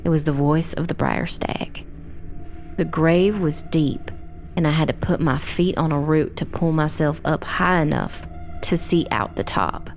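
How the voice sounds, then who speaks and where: A woman playing a young girl survivor who narrates a dark fantasy tale in the first person, measured and grave. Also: low voice, slight background noise.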